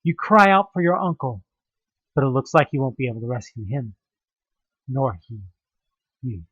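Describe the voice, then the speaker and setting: A man giving a theatrical, expressive second-person narration of a horror story. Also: medium pitch, normal speed, slightly rough, no room sound, lo-fi sound. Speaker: narrator of an audio drama.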